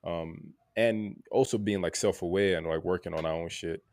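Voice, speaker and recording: A man co-hosting a podcast in a casual, reflective way, halting and repeating words, often checking in with "right?". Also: fairly even intonation, a little mellow, dry recording. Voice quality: gravelly low voice. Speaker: podcast co-host.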